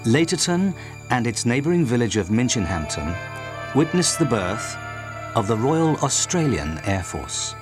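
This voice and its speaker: A man's voice: mid-range voice, big pitch swings, a little slow, over music. A man reading scripted narration for a documentary as its narrator.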